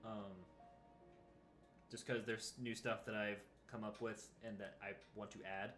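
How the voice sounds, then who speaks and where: A man's voice: on the low side, level tone, measured pace, fairly bright tone, faint music behind. A man running a tabletop game, chatting casually with his players after the session, laughing often and trailing off mid-sentence. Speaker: game master. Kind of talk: casual post-session chat.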